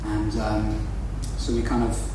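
A man's voice: lowish voice, completely flat delivery, a little slow, heavy reverb, far from the mic, noisy background. A young Welsh-born man whose first language is Welsh, telling a comic story to an audience in a chatty, self-deprecating way and calling his listeners folks. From Wales. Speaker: storyteller on stage.

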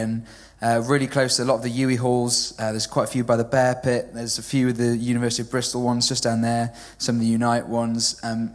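A man in his twenties from Wales, a native Welsh speaker with a well-spoken southern English accent, talking about himself in a hesitant, chatty way with lots of ums during a church service. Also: medium pitch. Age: 20s. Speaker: church intern. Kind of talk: church stage interview.

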